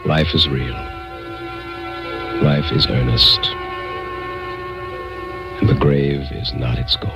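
An actor playing a haunted, guilt-ridden man who narrates a radio suspense tale in a brooding, deliberate, formal way. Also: very deep voice, fairly even intonation, exaggerated voice. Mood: guilty, brooding. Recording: old radio recording with music.

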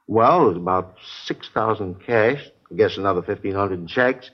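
A man's voice: on the low side, moderate pace, close to an everyday voice. An American man playing a talkative, slightly testy supermarket manager in a 1950s radio drama, rambling in a folksy, naturalistic way. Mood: recounting. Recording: muffled vintage radio recording.

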